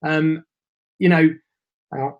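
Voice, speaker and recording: A man's voice: fairly high pitch, fairly even intonation, takes it slowly, muffled recording. Chatty man, losing his voice. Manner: chatty, informal, reads and answers chat messages. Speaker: webinar host. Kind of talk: live webinar presentation.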